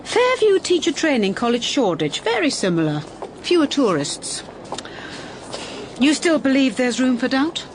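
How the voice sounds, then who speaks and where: An actress playing a blunt, dry-witted private detective in a radio drama, with an English accent and a brisk, wry way of talking. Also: female voice, high voice, very animated, noisy background. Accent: British, English.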